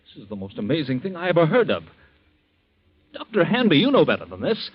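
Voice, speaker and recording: An actor playing an indignant, incredulous husband suspected of murder in a 1940s radio melodrama, protesting haltingly. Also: male voice, a little high, wildly swooping pitch, breathy edge, heavily acted. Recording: dull vintage 1940s radio recording.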